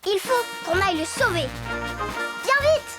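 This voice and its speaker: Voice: extremely high pitch, animated, a little slow. An actor voicing a bold, bossy young girl in a children's cartoon, speaking emphatically. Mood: worried, urgent. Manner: emphatic, exclamatory. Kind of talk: animated children's cartoon dub. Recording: loud children's music behind the voice.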